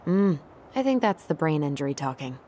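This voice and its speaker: A woman's voice: sits low, big pitch swings, a little fast, mellow, slight background noise. An actress playing a dry, guarded, teasing young American woman in naturalistic video game dialogue. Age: young adult.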